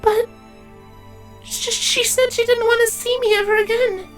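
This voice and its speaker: Man doing a very high, frightened changeling girl. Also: level tone, fairly bright tone, faint music behind. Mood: hurt, unsure. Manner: halting, breathy, gasping. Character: frightened, tearful young female changeling pony.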